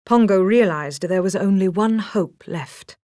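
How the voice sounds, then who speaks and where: A woman's voice: medium pitch, unhurried, darkish tone, no room sound, studio quality. A woman reading a scripted children's story as a storybook narrator.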